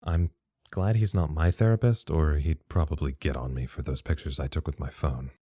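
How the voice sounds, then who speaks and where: An actor playing an earnest, chatty facility radio operator, giving a rambling, matter-of-fact log in an audio drama. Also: male voice, a bass voice, moderate pace, dark tone, slightly rough, no room sound, clean studio sound. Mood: wry, pleased.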